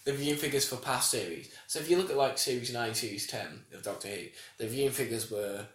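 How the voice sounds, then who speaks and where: Man, nasal, rambling podcast chatter, mid pitch, echoey room. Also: fast, fairly bright tone. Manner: rambling, self-interrupting, laughs often. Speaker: podcast co-host. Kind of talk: casual chat.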